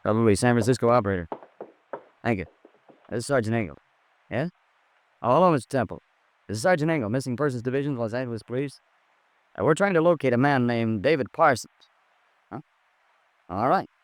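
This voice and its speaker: A dry, medium-pitched male voice, an actor as an American police sergeant in a vintage radio drama. He is brisk and official, yet courteous and steady. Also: no echo, natural voice.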